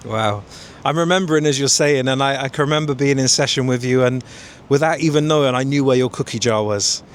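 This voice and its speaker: A man speaks at an average pitch. He is a coach leading a conversational interview, asking questions and adding his own reflections. There is faint noise in the background. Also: fairly quick.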